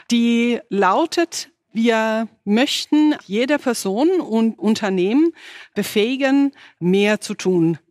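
A woman's voice: a little high, no room sound, clean studio sound. A woman with a non-native German accent giving a conference presentation. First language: not German. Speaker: conference speaker, engineering manager. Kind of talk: presentation talk.

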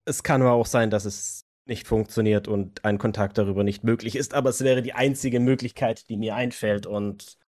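A man's voice: fairly low pitch, fairly even intonation, normal speed, hardly any pauses, darkish tone, natural voice. A man playing a wary, reluctant vampire in an audio drama, guarded and a little condescending. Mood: reluctant, cautious. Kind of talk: improvised role-play audio drama dialogue.

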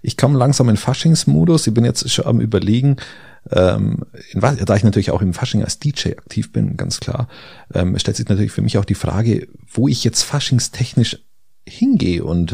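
A man's voice: lowish voice, animated, normal speed, vocal fry, dark tone, slightly rough. A man hosting a podcast, teasing his co-host with dry irony. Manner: teasing, ironic. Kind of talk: casual chat.

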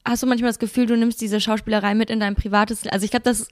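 A woman's voice: fairly high pitch, little pitch movement, racing, no room sound, studio quality. A woman co-hosting a casual podcast, asking questions in a playful, self-mocking way. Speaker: podcast co-host.

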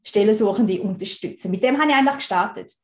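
A woman's voice: medium pitch, quick pace. A woman in her thirties from Switzerland with a Swiss German accent, chatting as an interview guest and often laughing. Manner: chatty, laughs easily, frequent restarts. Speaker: guest, recruiting consultant. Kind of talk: casual interview talk. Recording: roomy echo.

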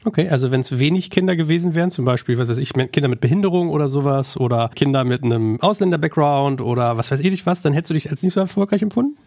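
Fast-talking man with a higher-pitched voice. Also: expressive, vocal fry, a little mellow. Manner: chatty, informal, uses English phrases. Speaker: podcast host and interviewer. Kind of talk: conversational interview.

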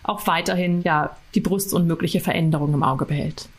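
A woman guest in an interview, giving informative, steady explanations step by step. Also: lowish voice, a little fast. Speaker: guest expert.

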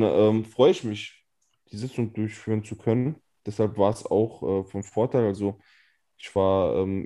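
A man's voice: on the low side, mellow. A man, a state parliament member and committee chair, earnestly explaining his work step by step in a casual podcast, recorded remotely. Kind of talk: casual political talk.

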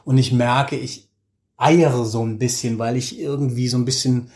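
A man in his forties chatting casually as an interview guest, telling things with animated enthusiasm. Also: medium pitch, normal speed. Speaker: interview guest, podcaster and audio drama creator.

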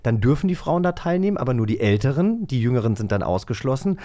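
A man's voice: mid-range voice, sing-song, fast talker, mellow. A man talking casually as co-host of a magazine show, chatty and self-deprecating. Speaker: podcast co-host.

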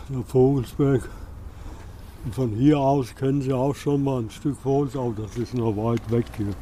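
A middle-aged or older local man with a dark, mellow voice at medium pitch. He gives casual, plain answers and often breaks off to start again. Faint outdoor noise sits behind him. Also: steady tone. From rural Hesse, Germany. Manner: halting, plain-spoken, breaks off and restarts sentences. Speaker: local resident being interviewed. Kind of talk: casual spontaneous interview answers. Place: outdoors in a quiet rural village.

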